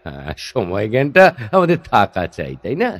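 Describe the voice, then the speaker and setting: A man's voice: on the low side, wildly swooping pitch, normal speed. A man acting a proud, teasing, somewhat prickly male stage performer in a theatrical audio drama, full of wry questions. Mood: wry, irritated. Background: instrumental background music.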